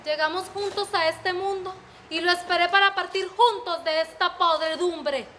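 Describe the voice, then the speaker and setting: Actress doing a sad murdered woman's ghost, super high bright voice, slow and solemn. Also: steady tone, slight background noise. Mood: mournful. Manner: solemn, lamenting. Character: spirit of a murdered woman, grieving and bitter. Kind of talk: stage acting.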